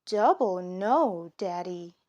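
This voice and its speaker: A woman's voice: on the low side, animated, slow pace, darkish tone, dull audio. A woman voicing an innocent, protesting young child in a children's storybook, eager and emphatic. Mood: denying.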